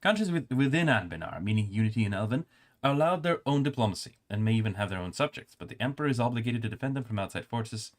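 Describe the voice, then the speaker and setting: A male streamer with a calm, soothing voice, reading game lore aloud and adding casual remarks. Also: mid-range voice, quick pace.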